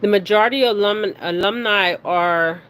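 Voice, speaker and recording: A woman hosting a casual spiritual reading, speaking haltingly, stumbling over words and often checking in with her listeners. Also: mid-range voice, a bit bright. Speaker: spiritual reader and card reader hosting her own video. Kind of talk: casual psychic reading, reading news details aloud. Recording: clearly noisy recording with background ambience.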